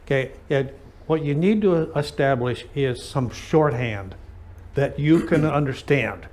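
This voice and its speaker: A man talking conversationally in an aviation lesson, feeling out his phrases haltingly as he speaks. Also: medium pitch, expressive, a little mellow.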